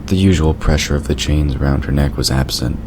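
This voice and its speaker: A man's voice: very deep voice, a little fast. A man reading scripted story narration for an audio drama. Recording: clearly noisy background.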